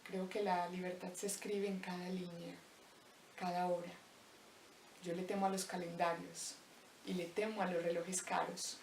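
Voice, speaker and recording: Lower-voiced Paisa woman reciting from afar. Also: little pitch movement, creaky, a little mellow, slight background noise. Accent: Paisa Colombian Spanish. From Colombia. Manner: measured, reflective recitation with deliberate pauses. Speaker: spoken-word performer. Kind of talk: spoken-word poetry monologue. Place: empty abandoned house. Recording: roomy echo, voice distant from mic.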